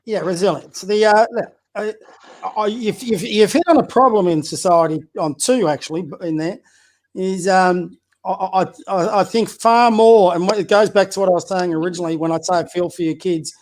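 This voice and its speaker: Aussie coach guy on a podcast, pretty high voice, blunt and opinionated, lots of um and restarts, throws rhetorical questions around. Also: moderate pace, a little mellow. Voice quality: high-pitched voice. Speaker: community basketball coach, podcast guest. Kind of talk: casual political chat.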